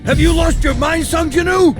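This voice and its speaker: An actor playing an excitable, wary male dungeon hunter in an English game dub. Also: mid-range voice, normal speed, vocal fry. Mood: alarmed. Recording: very loud music under dialogue.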